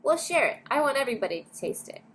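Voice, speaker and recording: A woman's voice: sits high, very animated, quick pace, pitched above the performer's own voice. A woman voicing a small, generous boy in a storybook, earnest and pleading. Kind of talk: storybook character reading. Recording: clear background noise.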